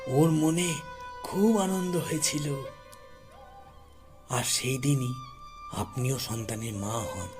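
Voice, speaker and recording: A man playing a grave, grieving poor old grandfather in an audio horror story, speaking haltingly and accusingly. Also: mid-range voice, over music, voice pushed down, natural voice. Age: older. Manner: slow, grave, halting delivery with pauses.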